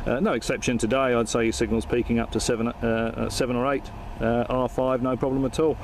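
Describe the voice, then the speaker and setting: A man's voice: mid-range voice, level tone, fast, slight background noise. Chatty man making casual radio talk. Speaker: amateur radio operator. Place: vehicle outdoors in Perth suburbs. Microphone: handheld camera.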